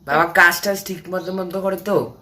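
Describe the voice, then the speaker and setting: A clingy grown son played by a man with a bright, forward voice. He speaks in short, casual replies, as in a domestic phone-call drama. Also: high voice, steady tone, normal speed. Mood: clingy, insistent.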